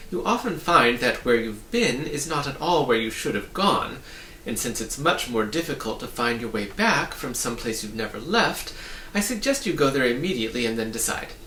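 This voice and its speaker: A man's voice: medium pitch, sing-song, normal speed, bright, forceful tone. A man voicing a proud, eager, ordinary-sized storybook man who explains himself with cheerful, rambling self-importance. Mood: confiding, pondering. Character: ordinary-sized man claiming four size titles, brightly proud, eager. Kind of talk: storybook character reading. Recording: roomy, distant mic, faint noise.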